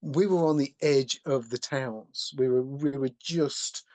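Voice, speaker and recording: A man's voice: medium pitch, unhurried, darkish tone, dry recording. A middle-aged English man co-hosting a podcast, rambling animatedly through a casual story. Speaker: podcast co-host. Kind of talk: casual chat.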